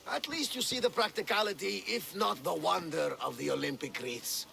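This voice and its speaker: An actor playing an excitable, devout older Greek man with a gravelly voice and a theatrical, reverent manner in a video game. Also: high voice, normal speed, heavily acted. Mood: reverent, explanatory. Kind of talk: video game dialogue acting.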